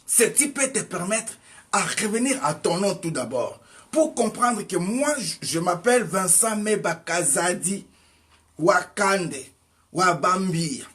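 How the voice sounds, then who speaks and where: A man preaching a sermon, asking his listeners question after question, his voice swinging between loud and soft. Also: high-pitched. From Congo. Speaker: evangelist preacher.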